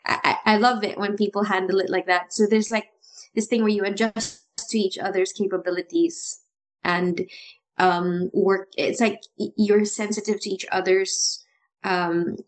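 A woman co-hosting a casual talk about improv, animated and often restarting her sentences with a laugh. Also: medium pitch, low-quality recording. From Philippines. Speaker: improv podcast co-host. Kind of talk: casual chat.

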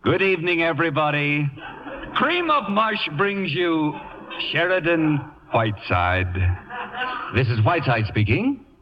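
An actor playing a pompous, acid-tongued radio celebrity with grand, sarcastic phrasing in a 1950s radio comedy. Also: male voice, fairly high pitch, sing-song, measured pace, slight background noise. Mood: unctuous, grandiose. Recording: muffled old radio broadcast, music.

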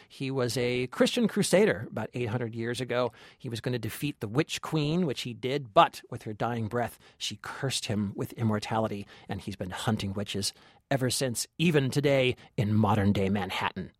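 A man's voice: medium pitch, normal speed, no echo, clean studio sound. A man co-hosting a film review podcast, chatting casually and giving his opinions with enthusiasm. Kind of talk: casual movie review chat.

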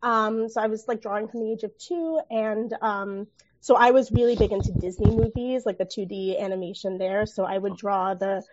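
A woman's voice: medium pitch, fairly even intonation, normal speed. A woman from New York City, a director and artist guest, talking casually with many fillers and easy laughter. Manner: laughing easily, hesitant with fillers. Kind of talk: casual interview chat. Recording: dull, lo-fi audio with faint noise.

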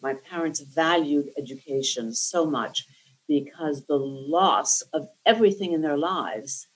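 A woman's voice: deep voice, poor audio. A woman in her sixties from New Jersey, whose first language was Lithuanian, tells her life story in an interview in an articulate and reflective way. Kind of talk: oral history interview.

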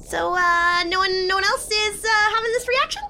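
A woman playing a self-sacrificing, passive-aggressive scout in an improvised sci-fi comedy, halting and nervously over-polite. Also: extremely high pitch, pushed and bright, heavily acted. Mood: anxious. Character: put-upon female scout, self-sacrificing, passive-aggressive, on a space crew.

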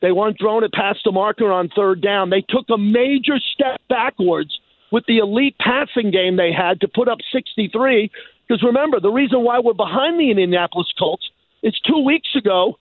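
A man from New York in animated sports talk as a radio guest, speaking emphatically and repeating phrases for effect. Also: sits high, moderate pace, dry recording. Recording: phone-like thin band.

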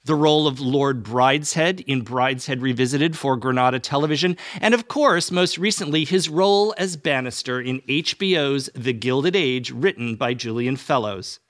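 A man's voice: medium pitch, moderate pace, hardly any pauses, fairly bright tone, no echo, clean studio sound. A man hosting a podcast, speaking in an effusive, formal way with theatrical phrasing. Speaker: podcast host. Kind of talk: warm-up narration and interview. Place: club library for the talk.

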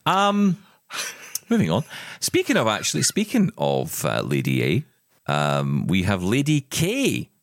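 A man with a very low, deep voice co-hosts a casual tech podcast. He talks with a lot of swing in his pitch, thinking aloud and restarting sentences. The sound is dry and close, with no room around it. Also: wildly swooping pitch, unhurried, gravelly, no room sound. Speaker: podcast co-host.

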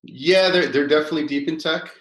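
A man in his fifties, a company founder telling stories in a casual interview, rambling and animated with many fillers. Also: on the high side, quick pace. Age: 50s. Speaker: guest, toy company founder. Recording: echoey room.

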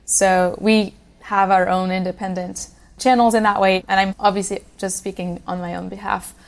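A young woman from Switzerland speaks as a podcast guest, chatting easily and filling her pauses with ums and you-knows. Her pitch sits in a middle range. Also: level tone, creaky. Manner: conversational, uses fillers like um and you know. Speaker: guest, policy program manager. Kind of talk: casual interview talk.

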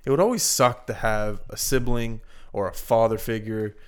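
A man's voice: fairly low pitch, normal speed, smooth. Rambling upbeat man. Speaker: podcast co-host. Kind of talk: casual chat.

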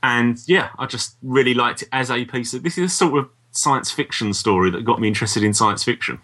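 A man's voice: medium pitch, brisk, pushed and bright. A man talking casually as co-host of a review podcast. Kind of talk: casual review chat about audio dramas.

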